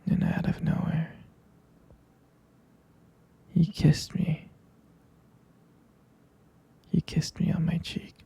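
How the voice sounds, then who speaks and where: A man playing a devoted, smitten boyfriend in an intimate ASMR roleplay, speaking in a breathy, husky whisper, hesitant and tenderly repeating his phrases. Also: on the high side, monotone, drawn-out words, pauses often, dark tone, exaggerated voice. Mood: tender, wistful.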